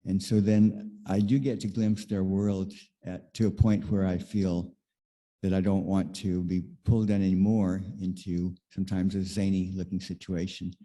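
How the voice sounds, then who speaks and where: A man sharing a reflective personal anecdote in a group discussion, in a measured, plainspoken way. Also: sits low, flat delivery, warm tone. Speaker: congregation member sharing in church discussion.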